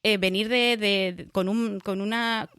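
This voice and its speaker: A woman's voice: mid-range voice, fairly even intonation, unhurried, fairly bright tone, clear, resonant tone, no echo. Spanish woman chatting, dry close sound. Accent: Spain. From Spain. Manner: chatty, many restarts and fillers. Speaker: guest. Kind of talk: casual interview chat.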